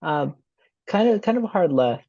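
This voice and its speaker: Man hosting an interview podcast, warm dark tone, dry dull lo-fi recording. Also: fairly high pitch, normal speed, no echo. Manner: relaxed, curious questioning. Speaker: podcast host. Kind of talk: casual interview chat. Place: San Diego.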